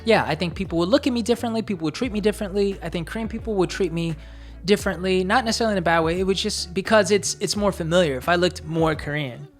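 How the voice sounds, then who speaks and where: Low-pitched American woman, thoughtful co-host, circling through ideas with lots of "you know" and "like". Her voice sits very deep for a woman. Soft music plays faintly underneath. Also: quick pace. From United States. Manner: reflective, talks in long searching sentences with many fillers. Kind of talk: casual chat.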